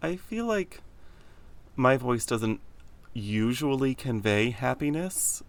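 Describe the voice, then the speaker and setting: A young man co-hosting a podcast, talking in a deep, slightly gravelly voice with a flat, deadpan, sarcastic delivery. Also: slow. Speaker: podcast co-host. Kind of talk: casual chat.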